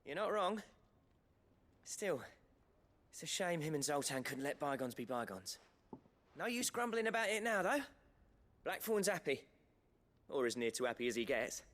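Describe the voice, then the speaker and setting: A man's voice: fairly high pitch, sing-song, moderate pace, long pauses, fairly bright tone. An actor with an English accent playing a good-natured, wry working man in a video game. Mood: fond, resigned. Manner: colloquial, wry. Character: chirpy, good-natured, folksy male working-class villager. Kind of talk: dramatic video game dialogue.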